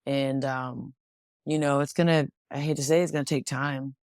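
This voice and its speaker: A woman's voice: a bass voice, fairly even intonation, moderate pace, darkish tone, smooth, no room sound, clean studio sound. A young American woman from Washington State, a pro boxer, talking reflectively as an interview guest with frequent restarts. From Washington State, USA. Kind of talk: casual interview conversation.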